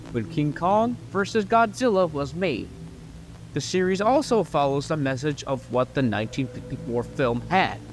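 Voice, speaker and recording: A man narrating a scripted video essay, explaining his points earnestly and emphatically with an occasional stumble. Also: fairly high pitch.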